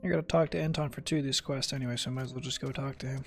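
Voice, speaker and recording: Male gamer, creaky voice, casual wry commentary, muttered read-alouds, faint game music behind. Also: medium pitch, steady tone, very fast. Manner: wry, sarcastic. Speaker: gamer streaming a playthrough.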